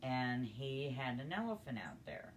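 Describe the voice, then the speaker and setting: An older woman telling a personal story in a conversational, halting, unhurried way. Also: extremely low pitch, big pitch swings, a little hiss or hum. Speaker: storyteller grandmother.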